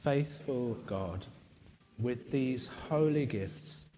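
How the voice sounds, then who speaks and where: A man leading communion in a church, reciting liturgical prayers in a slow, solemn, measured way, his voice going. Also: mid-range voice, mellow. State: losing his voice.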